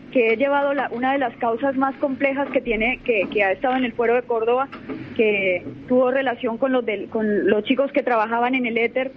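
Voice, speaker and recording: A young Colombian woman, a lawyer, talking in an assertive, self-assured way as a guest on a radio interview, sometimes repeating words while she gathers her thoughts. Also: on the high side. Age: young adult. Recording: muffled radio audio with faint noise.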